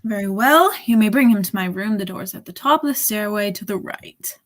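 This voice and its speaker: A woman's voice: mid-range voice, lively intonation, brisk, close to an everyday voice. A woman playing a haughty, possessive nightclub singer with imperious, melodramatic delivery in a radio drama. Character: Aisha, haughty, possessive female singer of the Casbah. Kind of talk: 1940s radio drama acting.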